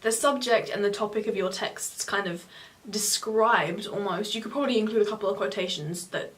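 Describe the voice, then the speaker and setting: A young woman, a student, giving exam advice in a casual on-camera chat, talking fast with lots of self-corrections. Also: mid-range voice, low-quality recording. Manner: chatty, animated hand gestures, self-correcting. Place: small home room, indoors. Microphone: camera in front of two seated speakers.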